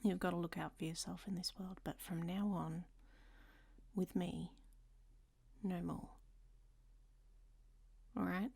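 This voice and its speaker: A woman's voice: medium pitch, gravelly, darkish tone, slightly rough. A woman playing a worldly, flirtatious traveler in an audio roleplay, speaking to the listener in a teasing, often half-whispered way.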